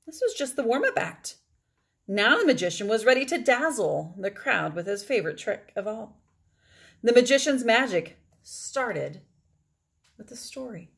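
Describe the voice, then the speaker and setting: A middle-aged woman reading a children's picture book aloud expressively, with deliberate phrasing and emphatic pauses. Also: on the high side, very animated, creaky, husky. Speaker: read-aloud storyteller.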